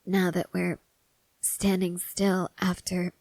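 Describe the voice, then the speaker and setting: A woman playing a stoic, devoted young woman soldier in an intimate ASMR roleplay, speaking softly and hesitantly, often half-whispering. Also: on the low side, completely flat delivery, slow, gravelly, dry recording, heavily acted. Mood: troubled, hesitant. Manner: hushed, hesitant, gently reserved.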